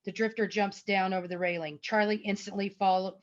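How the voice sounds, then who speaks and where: A woman's voice: mid-range voice, steady tone, fairly quick. A woman reading stage directions as narrator at a table read, recorded over a lo-fi video call. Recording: dull lo-fi video call audio.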